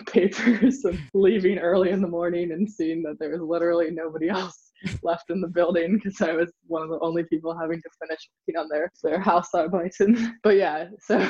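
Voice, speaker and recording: A man answering interview questions in a casual, chatty way, chuckling often and hedging with soft qualifiers. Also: a little high, slightly rough. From United States. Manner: chuckles often, hedges with "maybe" and "kind of". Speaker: interview guest, former exchange student. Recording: dull lo-fi recording.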